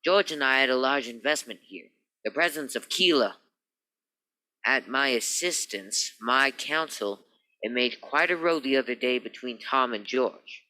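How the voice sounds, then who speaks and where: A man's voice: mid-range voice, expressive, measured pace, bright, forceful tone, poor audio. A man playing a smug, preening business partner in an old-time radio crime play, speaking in a pompous, flowery way. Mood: wounded dignity. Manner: pompous, flowery, mannered delivery.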